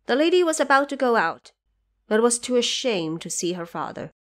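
A woman narrator delivering scripted, dramatic storytelling for an audio drama. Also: fairly high pitch.

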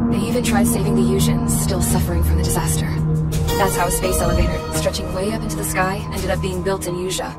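A woman acting a tough young pilot recounting her war in a cinematic game. Her voice is low, blunt and wry, with slangy, jaded phrasing. Music plays loudly underneath. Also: natural voice. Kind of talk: first-person cinematic video game narration. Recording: loud music under the narration.

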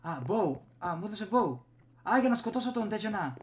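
A man's voice: high voice, expressive. A young man from Greece giving casual gameplay commentary as a video host, muttering to himself as he plays. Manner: muttering to himself while playing. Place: small room at home. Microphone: desktop USB microphone.

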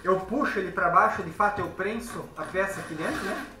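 A man's voice: high-pitched, fairly quick, noisy background. A man in his thirties from Brazil, demonstrating a job in a large workshop while he works, explaining plainly with frequent tag questions. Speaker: window installer. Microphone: phone camera nearby. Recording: echoey workshop with background music.

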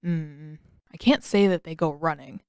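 A woman voicing a calm, kindly older warrior man in an audio story, unhurried and avuncular. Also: low-pitched, clear, resonant tone, no room sound, clean studio sound, pitched below the performer's own voice. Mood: amused.

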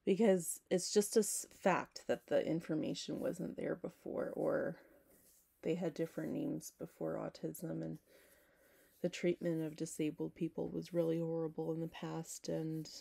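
A young woman talking casually to her camera at home, hoarse, rambling with lots of "like" and self-corrections. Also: sits low, steady tone, a little slow, mellow. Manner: rambling, self-interrupting, frequent "like". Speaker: vlogger. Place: small home room, indoors.

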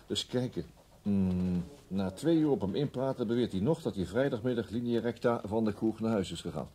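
Weary senior male detective for radio drama man acting, authoritative, soft dark timbre. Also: fairly low pitch, expressive, brisk, slight background noise, lightly acted. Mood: matter-of-fact.

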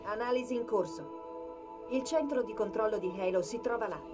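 A woman playing a sharp, analytical military artificial intelligence in a dubbed sci-fi video game, brisk and commanding. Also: a little high, over music, close to an everyday voice. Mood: analytical, assured.

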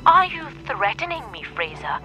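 A cold corporate director from a video game. His voice is high and piercingly bright, with clipped, formal, condescending delivery over faint music. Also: very animated, normal speed, exaggerated voice, low-quality recording. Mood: cold, stern.

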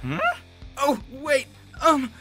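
A young male wolf student, played by a man in a manga dub. He is awkward and flustered, protesting hesitantly at a very slow pace. Loud music plays behind him. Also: very high voice, big pitch swings, exaggerated voice. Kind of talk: manga fan dub acting.

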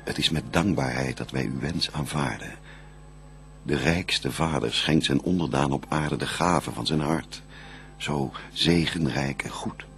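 The first man on earth, a devout bridegroom in a radio verse drama. His very low, creaky voice declaims formally and reverently, with music behind it. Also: measured pace, a bit bright, exaggerated voice. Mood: grateful, devout.

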